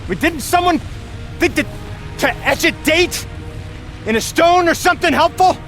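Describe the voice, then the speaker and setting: Earnest, stammering translator, music behind. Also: male voice, extremely high pitch, animated, a bit bright, heavily acted. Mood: distraught. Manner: hesitant, halting, stammering. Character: earnest male translator, displaced in time, anxious and stammering. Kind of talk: naturalistic video game voice acting.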